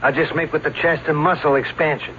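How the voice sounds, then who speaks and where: Cocky male escape-artist showman: high-ish pitch, slangy bragging, old radio drama, muffled noisy recording. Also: fairly even intonation, brisk, bright, forceful tone. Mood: cocky, scheming. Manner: cocky, slangy streetwise talk. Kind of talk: vintage radio drama acting.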